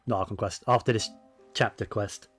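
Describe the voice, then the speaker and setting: A man's voice: on the low side, fairly even intonation, fairly quick, soft background music. A man giving casual, rambling gaming commentary, often correcting himself as he goes. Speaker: game streamer.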